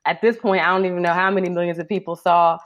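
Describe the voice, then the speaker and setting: A woman's voice: on the low side, flat delivery, fast, no echo, dull audio. A woman hosting a podcast, interviewing a guest over a video call in an upbeat, welcoming way. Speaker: podcast host. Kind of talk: casual interview.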